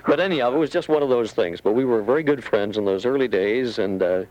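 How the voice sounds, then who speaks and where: A man's voice: medium pitch, fast talker, darkish tone, low-quality recording. An older man, a radio veteran appearing as a guest, telling anecdotes in a talk show conversation. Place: radio studio.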